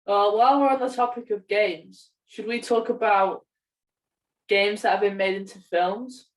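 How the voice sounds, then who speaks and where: A woman's voice: medium pitch, steady tone, measured pace. A young woman chatting casually as a podcast co-host. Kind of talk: casual chat. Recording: voice distant from the microphone.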